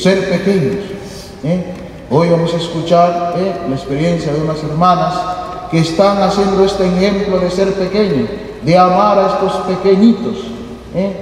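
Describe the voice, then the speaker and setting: A man priest speaks from the pulpit of a large church, earnest and repetitive, dropping little filler tags between phrases. His fairly high voice carries a long, roomy reverb. The camera picks him up from a distance. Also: a little mellow, slight background noise. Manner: earnest, filler-tagged preaching with repeated phrases. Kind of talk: homily, then interpreting into Spanish. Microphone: headset mic.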